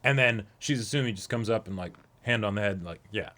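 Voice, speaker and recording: Slightly low-voiced young man, earnest chat. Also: a little fast, pushed and bright. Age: young adult. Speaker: co-host of an anime reaction show. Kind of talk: casual chat, episode discussion. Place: small indoor room, seated before a backdrop.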